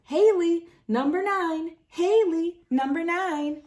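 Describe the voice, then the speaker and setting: A woman's voice: very high voice, slow, a little mellow, far from the mic, voice pushed up. A woman voicing mocking older girls in a storybook, teasing in a sing-song manner. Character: older girl teammates, mocking and taunting.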